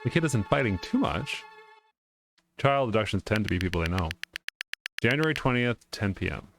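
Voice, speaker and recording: A man's voice: fairly low pitch, lively intonation, moderate pace, vocal fry, a bit bright. A man playing a game, reading its text aloud and breaking off for informal asides as he comments. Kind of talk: let's play commentary with dialogue read aloud. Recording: music behind the voice.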